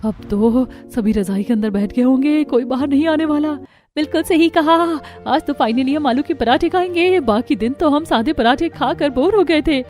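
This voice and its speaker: A woman's voice: sits high, normal speed, a little mellow, faint music behind, voice pushed up, close to an everyday voice. A woman voicing a sneaky young daughter-in-law in a family cartoon, chatty and conspiratorial. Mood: gleeful, conspiratorial. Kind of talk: Hindi family cartoon dialogue.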